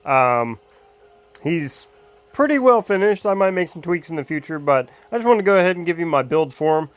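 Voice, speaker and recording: A man casually talking through a mobile game character build, rambling with lots of ums and uhs, his voice a little hoarse. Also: fairly high pitch, big pitch swings, moderate pace, faint music behind. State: slightly hoarse, losing his voice. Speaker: mobile game guide creator. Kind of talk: casual game guide commentary.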